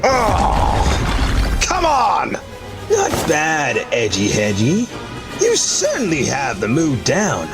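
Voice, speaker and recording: A man's voice: fairly high pitch, huge pitch swings, takes it slowly, music in the background, heavily acted. A man voicing a theatrical, taunting, mad clown villain in a comic dub, drawling mockingly.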